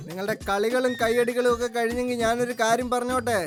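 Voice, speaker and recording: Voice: medium pitch, level tone, fast, lightly acted. A performer voicing a boastful, eccentric cartoon inventor who explains things with self-importance. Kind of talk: exaggerated children's cartoon dubbing. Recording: music behind the voice.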